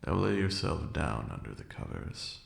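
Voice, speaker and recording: A man voicing a haughty but protective ancient vampire lord in a soft, teasing ASMR roleplay, with formal old-fashioned phrasing and a breathy murmur. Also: rumbling low, very animated, normal speed, echoey room, exaggerated voice. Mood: teasing, soothing.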